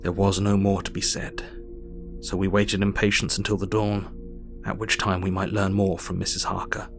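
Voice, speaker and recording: A man playing an earnest Victorian doctor, narrating his diary in a measured, formal way for an audio drama. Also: low-pitched, level tone, over music. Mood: sober, tense.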